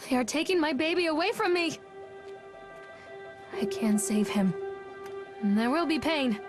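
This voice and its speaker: A woman's voice: sits high, expressive, a little slow, music in the background. A woman playing an anguished, bitter mother unraveling with obsessive love in a horror game, theatrical and emotionally raw. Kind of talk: dramatic horror game voice acting and monologue.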